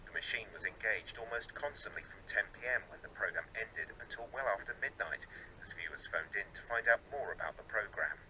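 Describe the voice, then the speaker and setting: Lowish, sharp-edged man reading a report. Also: animated, a little fast, slight background noise, low-quality recording. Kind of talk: formal reading of a newspaper report.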